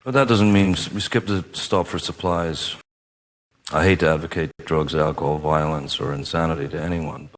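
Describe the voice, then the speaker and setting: A low male voice acted by a man gives us a world-weary, half-mad gonzo reporter. He is ominous and darkly sardonic, delivering monologues in a radio comedy. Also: fairly even intonation, moderate pace. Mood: frayed, sardonic.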